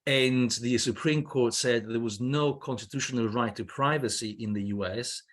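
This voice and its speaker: A man's voice: medium pitch, normal speed. A man in his fifties with a Swiss accent, not a native English speaker, speaking as a guest lawyer on a video call, hesitant with many fillers and restarts. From Switzerland. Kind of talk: panel discussion on a video call. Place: indoor room with wooden ceiling. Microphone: laptop webcam on a video call.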